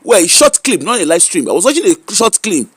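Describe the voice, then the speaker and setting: A man's voice: high voice, very animated, fairly quick, fairly bright tone, breathy edge, dry recording. A man with a Nigerian accent giving casual, mocking commentary full of rhetorical questions. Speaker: social media commentator. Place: UK-based.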